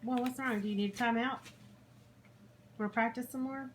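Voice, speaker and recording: A woman talking casually to a young child in an encouraging, animated way, recorded on a noisy home phone video. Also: on the high side, fairly quick. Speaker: parent watching a child's puppet show.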